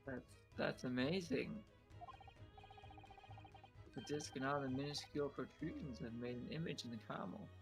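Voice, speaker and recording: A man's voice: medium pitch, normal speed, creaky, long pauses, over music, lightly acted. A man voicing a polite, earnest young assistant in a video game fan dub, with formal, wondering delivery.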